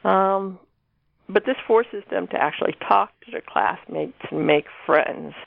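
Woman author chatting in an interview over a phone line, low pitched for a woman, some creak. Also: a little slow, no echo. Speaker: guest author discussing own novel. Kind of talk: casual interview talk.

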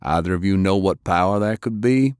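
A man voicing a big, gruff, plain-spoken war veteran from the country, terse and folksy, in an audiobook. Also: low-pitched, a little fast, a little mellow, no echo, clean studio sound, close to an everyday voice. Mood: laconic, analytical.

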